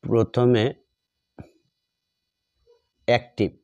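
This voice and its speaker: A man's voice: medium pitch, level tone, extremely slow, darkish tone, smooth, no room sound, dull audio. A man with a Bengali accent teaching grammar like a classroom teacher, repeating phrases step by step as if dictating while he writes. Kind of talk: classroom lesson, explaining while writing out examples.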